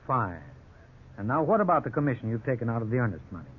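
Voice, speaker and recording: A man with an American accent playing a courteous, measured attorney in a 1950s radio drama, speaking in a polished, diplomatic way. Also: medium pitch, sing-song, natural voice. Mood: courteous, satisfied. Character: measured, courteous male attorney negotiating for clients. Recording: tinny, noisy 1950s radio recording.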